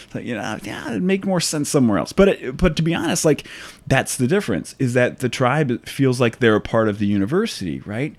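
A man's voice: mid-range voice, lively intonation, brisk. Emphatic American man talking casually. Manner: emphatic, conversational, often asks for agreement with "right?". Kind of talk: casual opinionated discussion.

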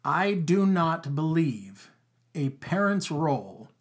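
A middle-aged man with a loud voice, talking emphatically in a casual podcast conversation and repeating his key words. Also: fairly high pitch, big pitch swings, slow. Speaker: podcast guest, father.

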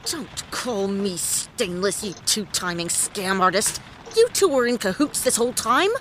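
A woman playing a wry, hard-boiled private detective in a noir audio drama, deadpan, quippy and world-weary. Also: mid-range voice, measured pace, slight background noise. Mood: confrontational.

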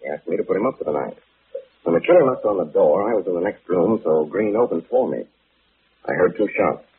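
A composed male sleuth from a vintage radio mystery. His low voice moves briskly and with clipped authority, determined and sure. The recording is thin and crackly, like an old broadcast. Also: animated. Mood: grave, firm. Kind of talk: 1940s radio drama acting. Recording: scratchy, narrow-band old radio recording.

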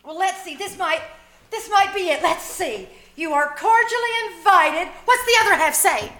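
An actress playing an eager, scheming housewife who pleads and exclaims in old-time radio comedy style. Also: female voice, very high-pitched, normal speed, bright, forceful tone. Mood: anxious, apologetic. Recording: echoey live room, faint noise.

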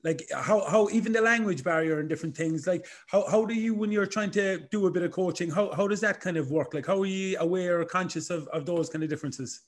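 Quick-talking podcast host. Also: male voice, sits high. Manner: rambling, rephrases his questions. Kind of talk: casual podcast conversation.

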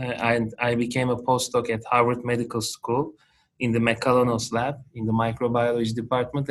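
A man in his forties with a Turkish accent, a guest researcher answering interview questions earnestly and methodically, with small restarts. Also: medium pitch. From Turkey.

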